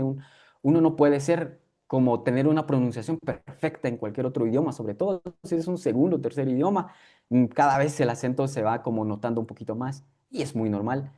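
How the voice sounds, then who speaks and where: A man's voice: medium pitch, warm tone. A young man from Guatemala, a native K'iche' speaker whose Spanish carries a K'iche' accent, speaking reflectively as an interview guest with frequent fillers and restarts. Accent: K'iche'-influenced Guatemalan Spanish. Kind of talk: conversational interview.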